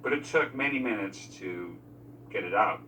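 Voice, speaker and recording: A man giving measured, explanatory commentary as a filmmaker in a behind-the-scenes interview. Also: on the low side, expressive, pushed and bright, distant mic, slight background noise. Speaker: film crew member discussing editing decisions.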